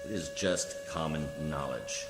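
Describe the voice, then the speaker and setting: A man's voice: a bass voice, huge pitch swings, unhurried, heavily acted. A man acting a coolly formal, condescending alien judicial official in a science fiction radio play, speaking with precise diction. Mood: smugly condescending. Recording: echoey hall reverb, music behind.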